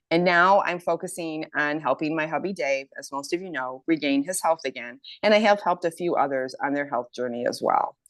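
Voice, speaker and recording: Woman, 50s, low voice, dry close sound; casual, eager testimonial. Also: no room sound. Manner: enthusiastic, reads quotes aloud. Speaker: health program member. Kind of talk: casual group chat testimonial.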